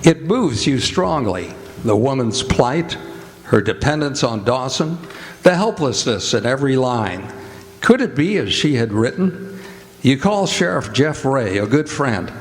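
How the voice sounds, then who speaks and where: A man playing a grave radio drama narrator, with a measured, dramatic, scripted old-time delivery. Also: medium pitch, expressive. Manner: measured, dramatic, addresses the hero as you. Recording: reverberant hall with music underneath.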